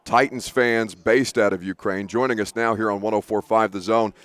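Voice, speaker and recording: A man's voice: lowish voice, steady tone, moderate pace, fairly bright tone, clean tone, no room sound. A male sports radio host interviewing guests at a live broadcast, talking eagerly with many restarts and self-corrections. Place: studio booth at a sports event.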